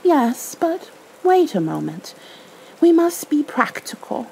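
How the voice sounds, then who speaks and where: Woman voicing an earnest, high-pitched storybook bear. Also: big pitch swings, slow, gravelly, a little mellow, slight background noise, exaggerated voice, low-quality recording. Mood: earnest, scheming.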